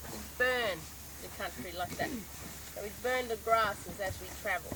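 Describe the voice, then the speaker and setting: Aussie lady ranger showing stuff out in the bush, bit hoarse, lots of ums, outdoor noise in the background. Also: a little high. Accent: Australian. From northern Australia. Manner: unhurried, explanatory, with frequent ums and restarts. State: slightly hoarse, losing her voice. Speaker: park ranger. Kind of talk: outdoor guided demonstration. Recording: outdoor recording with clear natural background noise.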